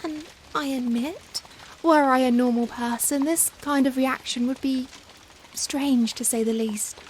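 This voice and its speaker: A woman playing a young, genteel Victorian vampire in a soft-spoken ASMR roleplay, with formal old-fashioned phrasing and hesitant pauses. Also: high voice, fairly even intonation, low-quality recording. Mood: apologetic, soothing. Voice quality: soft-spoken, breathy in hushed moments. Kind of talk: ASMR roleplay, softly spoken dramatic monologue. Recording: faint rain-like background noise. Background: rain ambience.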